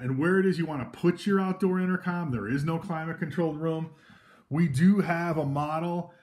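Casual man demoing gear off camera. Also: on the high side. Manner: casual, loose, with frequent fillers and restarts. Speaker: equipment demonstrator. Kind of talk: informal product demo. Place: indoors at a table of devices.

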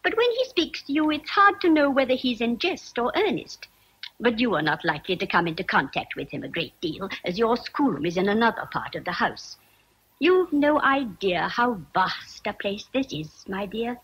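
An actress playing a fussy, kindly older housekeeper in a radio drama, chatty and hesitant. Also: female voice, a little high, very animated, moderate pace, a bit bright, husky, full character voice, poor audio. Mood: gossipy. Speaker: actor.

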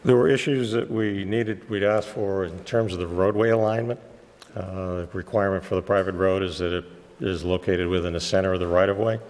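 A man with a cold, losing his voice, presenting a technical site plan to a planning board in a measured way with many "uh" fillers. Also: deep voice, fairly even intonation, darkish tone, long reverb tail. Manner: measured and explanatory, with frequent "uh" fillers. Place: town meeting room.

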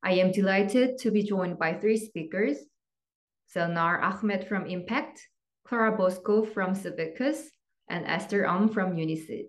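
A woman moderating an online event, reading measured, formal opening remarks over slides. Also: medium pitch.

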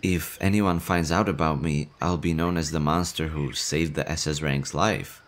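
A man voicing a nervous low-voiced slime boy. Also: fairly even intonation. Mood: worried. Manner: nervous, stammering, quick to worry. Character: nervous young male slime monster, timid and fretful. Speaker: voice actor. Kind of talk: audio roleplay.

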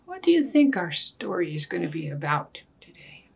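An older woman reading a picture book aloud to children in a deliberate manner, putting questions to her listeners. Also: lowish voice, very animated, vocal fry, heavy background noise. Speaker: story time reader. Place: small indoor room with books and wall quilt.

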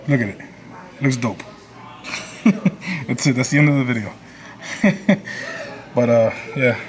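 A man's voice: mid-range voice, a bit bright. A man in his thirties with a Cuban accent, chatting casually and joking as he browses a toy store while filming with a handheld camera. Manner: laid-back, joking, mumbles asides. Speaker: toy-collecting vlogger. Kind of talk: casual vlog chat. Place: large toy store, indoors. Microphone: handheld camera held close. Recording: busy store chatter behind.

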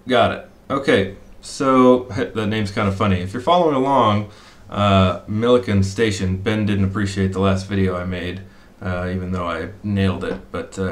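A middle-aged man explains his search step by step, thinking aloud and pausing on fillers. His voice sits fairly low and rings in a roomy, echoey small room. He sounds like he is talking into a desk mic at a computer. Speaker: fishing spot analyst. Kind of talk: screen-share walkthrough.

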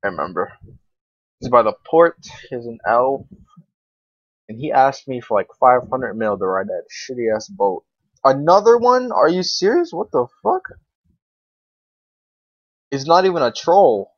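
A man's voice: medium pitch, sing-song, unhurried, long pauses, no echo. A young man giving casual, unscripted game commentary, rambling to himself in slang with some swearing. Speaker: gaming video creator. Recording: dull, lo-fi microphone.

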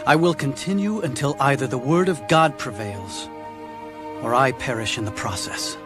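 A man narrating an animated film in a solemn, reverent, scripted style. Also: medium pitch, moderate pace, over music, natural voice.

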